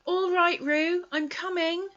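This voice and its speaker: A woman's voice: mid-range voice, wildly swooping pitch, slow pace, breathy edge, heavily acted. A woman voicing an earnest, solemn young boy. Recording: dull lo-fi recording.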